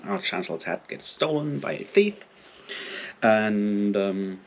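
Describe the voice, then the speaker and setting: A man giving an informal, unscripted book discussion as a video host, halting as he thinks aloud. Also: lowish voice, lively intonation, vocal fry, a little hiss or hum.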